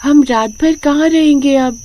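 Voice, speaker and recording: A woman's voice: sits high, unhurried, mellow. A woman voicing an innocent, chatty little girl in a cartoon story, with a childlike manner. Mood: uneasy, curious. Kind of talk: cartoon moral story acting.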